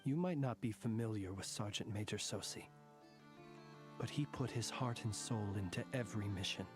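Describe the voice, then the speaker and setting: An actor playing a grave, courtly soldier in a video game, speaking in formal, measured, deliberate phrases. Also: male voice, on the low side, close to an everyday voice. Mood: somber. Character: grave, composed, courtly male soldier, quietly vengeful. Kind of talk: scripted video game voice acting. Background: game music.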